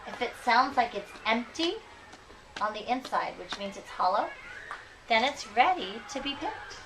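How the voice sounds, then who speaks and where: A woman teaching young children, reading aloud expressively and deliberately and checking their understanding with questions. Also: a little high, animated. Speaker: elementary school teacher. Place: school classroom. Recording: noisy classroom, distant microphone.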